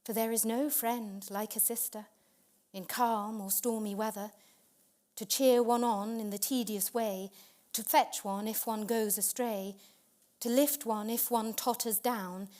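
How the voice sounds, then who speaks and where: A woman performing a dramatic narrative poetry reading, expressive and measured, with deliberate pauses. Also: mid-range voice.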